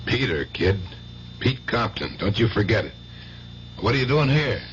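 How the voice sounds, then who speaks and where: An actor playing a brusque, tough-talking but good-hearted middle-aged uncle who runs a gambling club, in radio drama style. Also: male voice, fairly low pitch, big pitch swings, normal speed. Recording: muffled radio drama recording, loud hiss.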